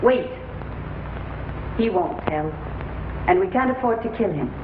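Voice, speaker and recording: A woman's voice: mid-range voice, expressive, measured pace, close to an everyday voice. A woman playing a haughty, hot-headed queen in a 1950s television space opera, imperious and theatrical. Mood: seductive, commanding. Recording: very noisy, muffled, echoey 1950s TV soundtrack.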